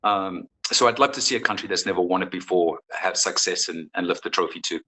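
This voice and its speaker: A man's voice: sits low, brisk, sharp tone. A man speaking as a football coach in a conversational interview, thoughtful and often restarting his phrases. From South Africa.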